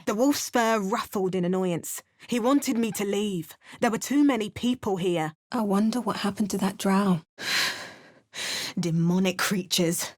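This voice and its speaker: An actress voicing a wry, bookish adventuring heroine with a British accent, speaking game voice lines and spell words. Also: female voice, medium pitch, measured pace, lightly acted. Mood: narrating. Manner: dry, wry remarks and chanted spell words. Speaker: player character voice lines.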